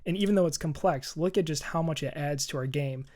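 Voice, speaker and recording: A hoarse man with a scratchy edge narrates a coding lesson. He talks in a chatty, upbeat way while he types, thinking aloud as he goes. Keys clatter behind him. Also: on the high side, a little fast, a little mellow. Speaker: programming tutorial host. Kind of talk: screen-recorded coding walkthrough. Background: keyboard typing.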